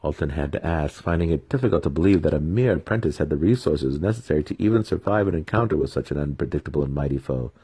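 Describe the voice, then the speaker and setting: A man's voice: a bass voice, animated, quick pace, few pauses, dark tone, slightly rough, no echo. A man giving a dramatic storybook narration as the host of a reading series.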